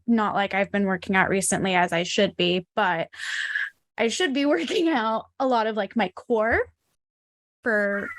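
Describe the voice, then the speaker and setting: A woman hosting a podcast interview in a relaxed way, asking questions and sharing her own stories. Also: medium pitch, measured pace. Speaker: podcast host. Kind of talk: casual interview.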